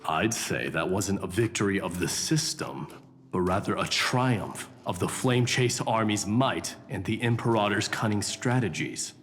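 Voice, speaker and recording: A man's voice: on the low side, measured pace, a bit bright, long reverb tail, faint music behind. A man playing a blunt, confident warrior crown prince in a video game, speaking with formal dignity. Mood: proudly assured.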